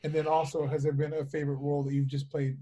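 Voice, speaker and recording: A man's voice: fairly high pitch, flat delivery, fast, warm tone. An American man hosting a conversational interview, attentive to his guest and feeling his way through questions. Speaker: interviewer, host.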